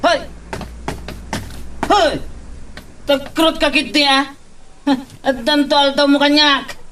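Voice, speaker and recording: Cocky young Ilocano male rival played by an actor, very high pitch, taunting, faintly noisy ambience. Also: little pitch movement, takes it slowly, bright, forceful tone, exaggerated voice. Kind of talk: Ilocano romance radio drama acting.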